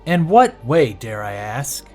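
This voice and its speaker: An actor playing a weary, sceptical police officer, narrating and acting with a dry manner in an audio drama. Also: male voice, mid-range voice, big pitch swings, takes it slowly, a little mellow, faint music behind. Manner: dry, sardonic first-person narration.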